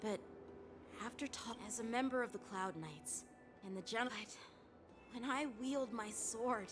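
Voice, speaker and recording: A woman's voice: fairly high pitch, unhurried, natural voice. A woman playing an earnest, proud teenage boy swordsman in an anime-style game. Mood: solemn confession. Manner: earnest, solemn. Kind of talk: dramatic anime game voice acting. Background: game music.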